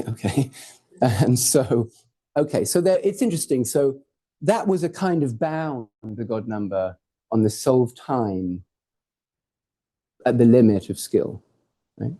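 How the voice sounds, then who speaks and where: A man lectures to a hall audience in a very dark, mellow voice. His delivery is loose and conversational, full of asides, jokes and the occasional curse. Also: mid-range voice, slow, long pauses. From born in Hawaii, raised in Portugal and England. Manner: informal, digressive, jokey, swears for effect. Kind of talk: academic talk with slides.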